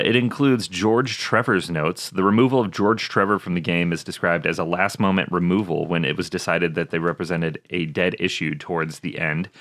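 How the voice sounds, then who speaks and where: A man's voice: deep voice, a bit bright, dry recording. A man co-hosting a podcast in casual chat, joking irreverently and reading from notes. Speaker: podcast co-host.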